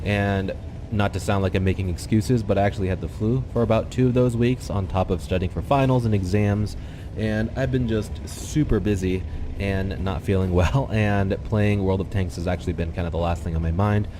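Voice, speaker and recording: A man's voice: lowish voice, moderate pace, background noise. A young man with a congested, slightly nasal voice, earnestly explaining gameplay to his viewers on a desktop microphone. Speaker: gameplay commentator. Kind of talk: casual gameplay commentary. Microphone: desktop USB microphone.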